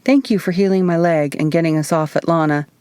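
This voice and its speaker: A woman's voice: sits low, fairly even intonation, fairly quick, dark tone, clean tone, no echo, close to an everyday voice. Woman acting a wry, weary injured heroine.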